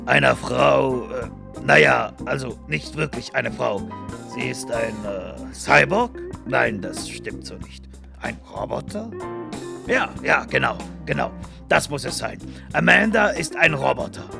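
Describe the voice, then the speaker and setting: A man's voice: mid-range voice, expressive, unhurried, creaky. A man narrating a comedic crime audio drama as a bumbling, hesitant storyteller. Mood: hesitant, awkward. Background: music.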